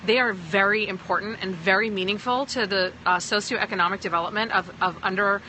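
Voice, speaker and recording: A woman's voice: mid-range voice, a little fast, pushed and bright, slight background noise, low-quality recording. A woman from Pakistan who sounds American, talking to viewers on a phone livestream as a sales team leader, emphatic and often checking in with "okay?". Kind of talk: livestream talk answering viewers.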